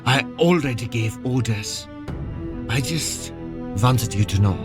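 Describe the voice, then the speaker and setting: Earnest army captain father, slow and expressive man acting. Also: mid-range voice, music in the background. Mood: urgent, burdened. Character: grave military captain and loving father. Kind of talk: audio drama acting.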